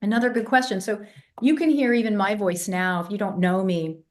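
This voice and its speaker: A woman's voice: medium pitch, fairly quick. A woman raised in England, a vocal coach telling stories in a casual interview, sounding congested. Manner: animated storyteller, demonstrates vocal sounds. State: congested, voice less clear than usual.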